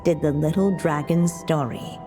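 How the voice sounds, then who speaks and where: A solemn, tender storybook witch narrates for a game. Her delivery is very slow and measured, in a dark, mellow, slightly low voice. Faint music sits behind it. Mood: solemn, storytelling.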